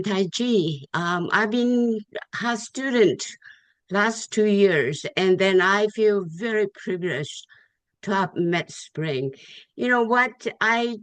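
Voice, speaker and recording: A woman from Japan with a Japanese accent, giving an informal, heartfelt testimonial in a halting way, searching for words and repeating phrases. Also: mid-range voice, clear, resonant tone, no echo. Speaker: Tai Chi student giving thanks at an online gathering. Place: online video call.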